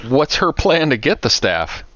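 A man's voice: a little high, big pitch swings, measured pace, bright, forceful tone, no echo, muffled recording. A man co-hosting a comedy podcast, bantering casually with dry questions and remarks. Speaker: podcast co-host.

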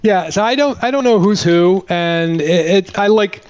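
A man's voice: high voice, moderate pace, a little mellow, smooth. A middle-aged man co-hosting a podcast, recapping a film in rambling, incredulous casual talk. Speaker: podcast co-host. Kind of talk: casual chat, movie recap. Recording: dull, band-limited podcast audio.

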